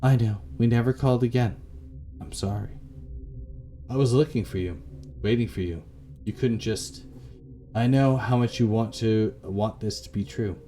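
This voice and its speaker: A man's voice: medium pitch, normal speed, long pauses, mellow, soft background music, lightly acted. A man voicing a confused, grieving elderly widow with failing memory in a visual novel, wistful and subdued. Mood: wistful, longing.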